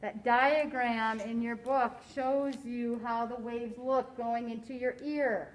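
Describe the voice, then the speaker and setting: Slow, deliberate high-voiced woman teacher. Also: fairly even intonation, rough recording. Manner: slow, deliberate, quizzing students. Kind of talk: classroom science lesson.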